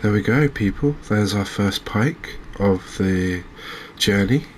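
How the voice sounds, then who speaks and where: A man giving soft-spoken ASMR commentary as he plays a video game, in a measured, gentle, chatty manner. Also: fairly low pitch, a little hiss or hum. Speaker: host playing a fishing video game.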